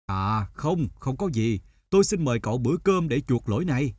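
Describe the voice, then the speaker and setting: An actor playing a generous but suspicious middle-aged male traveler in an animated folk tale. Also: medium pitch, a little fast, dry recording, clean studio sound. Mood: apologetic.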